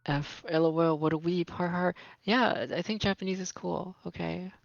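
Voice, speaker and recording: A man's voice: high voice, fairly even intonation, moderate pace, creaky, no echo, lo-fi sound. A young man from Brazil, raised speaking Portuguese, chatting casually as a podcast guest in a rambling, self-correcting way. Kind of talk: casual chat.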